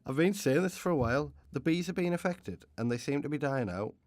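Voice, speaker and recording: A man's voice: a little high, expressive, brisk, very noisy, close to an everyday voice. A man acting in a radio drama as an opinionated, impatient season spirit, complaining in a blunt way. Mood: aggrieved. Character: opinionated, impatient male season spirit who defends bees.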